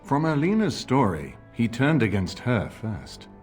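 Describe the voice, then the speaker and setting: A man playing a shrewd middle-aged nobleman in a video game, speaking in a formal, deliberate and eloquent way. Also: mid-range voice, big pitch swings, darkish tone, soft background music. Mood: measured, calculating.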